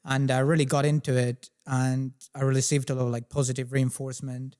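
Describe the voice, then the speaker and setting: A man in his twenties with a slight Spanish accent, a podcast guest telling his story in a relaxed, self-deprecating way. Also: mid-range voice, brisk, smooth. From Spain. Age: 20s. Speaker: podcast guest, chemistry tutor. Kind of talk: casual interview chat.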